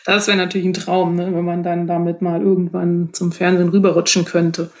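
A woman's voice: on the low side, level tone, brisk, a little mellow. A woman speaking casually as an author guest in an interview, phrasing her thoughts hesitantly.

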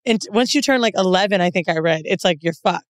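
A woman's voice: fairly low pitch, quick pace, a crowd in the background. A woman hosting a podcast, asking her guest curious, probing questions in casual chat. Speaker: podcast host.

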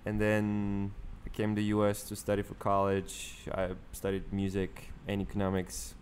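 Guest, lowish voice, rambling anecdotes, faint hiss. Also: male voice, monotone, moderate pace, darkish tone. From Bulgaria. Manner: chatty storyteller, many fillers and laughs. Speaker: guest musician. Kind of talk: casual interview chat.

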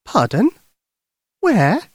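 A man's voice: sits high, wildly swooping pitch, very slow, breathy edge, no echo, studio quality, pitched above the performer's own voice, exaggerated voice. A man voicing a sweet, eager little girl in a bedtime story, earnest and full of childlike questions. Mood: curious.

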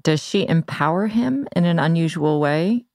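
A woman with a noticeably creaky voice hosts a conversational podcast interview. Her phrasing is measured and reflective. The sound is dry and close. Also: deep voice, animated, darkish tone, dry recording. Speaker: podcast host.

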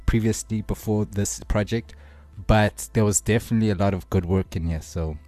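A man's voice: lowish voice, moderate pace, dark tone, no echo, faint music behind. A young man with a South African accent co-hosting a casual chat, using slangy, friendly talk. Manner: slangy, addresses friends as "bruh" and "dog". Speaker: podcast co-host.

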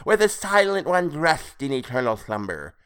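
A man's voice: fairly high pitch, very animated, moderate pace, a bit bright, clean tone, voice pushed up. A man voicing a flabby, sinister toad-faced sea creature in an audiobook, mocking, gloating and theatrical. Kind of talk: dramatised audiobook character voice.

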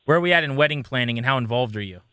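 A man's voice: mid-range voice, expressive, racing, pushed and bright, clear, resonant tone, no room sound, studio quality. A man working as a sports radio host, interviewing in a casual, conversational style.

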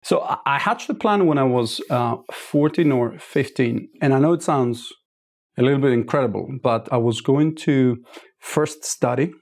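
A man in his forties speaks as a startup founder being interviewed. His answers come measured and reflective, and he often circles back to restart a phrase. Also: medium pitch, a little mellow. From Greece. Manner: measured, thoughtful, with frequent restarts.

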